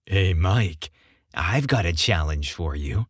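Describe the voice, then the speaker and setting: Man narrating as a teasing, mischievous young guy, animated. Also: low voice, slow, breathy edge, no echo, clean studio sound, pitched below the performer's own voice, exaggerated voice. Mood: playful goading. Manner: goading, playful. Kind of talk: audiobook character dialogue.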